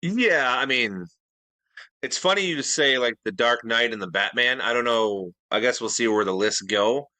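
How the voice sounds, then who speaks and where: Male co-host, bright tense tone, rambling defensive chat, dry recording. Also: mid-range voice, moderate pace, dry recording. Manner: defensive, rambling, many restarts. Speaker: podcast co-host. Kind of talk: casual debate chat.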